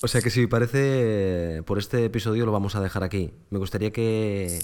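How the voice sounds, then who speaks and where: A man with a Spain Spanish accent, hosting a podcast call in a relaxed, friendly way. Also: lowish voice, normal speed, clean tone. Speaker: podcast host. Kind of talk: casual chat.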